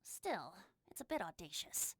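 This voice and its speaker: A woman's voice: fairly low pitch, very animated, a little slow, husky, no room sound, full character voice. A woman voicing a playful young male traveling bard in an anime game, with theatrical, flowery phrasing. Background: game music.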